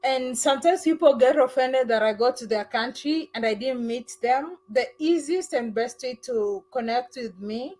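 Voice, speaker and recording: A woman with a fairly high voice hosts a live call-in stream. She talks casually and gushes her thanks to callers. Her speech is relaxed and conversational. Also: moderate pace, few pauses. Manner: warm, effusive thanks, mimics other accents playfully. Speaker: livestream host.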